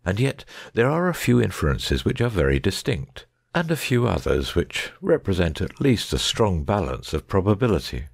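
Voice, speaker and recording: A man playing a confident, analytical gentleman detective in a dramatic audiobook, precise and didactic. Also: low-pitched, big pitch swings, normal speed, vocal fry, hardly any pauses, no room sound, clean studio sound, exaggerated voice. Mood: assured, didactic.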